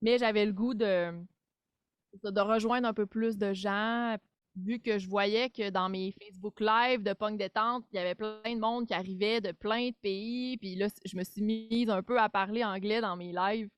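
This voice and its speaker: A woman with a Québécois accent, chatting casually as a podcast guest, animated and self-deprecating, full of fillers. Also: medium pitch, normal speed, clean tone, no room sound, faint music behind. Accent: Québécois French. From Quebec. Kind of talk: casual chat.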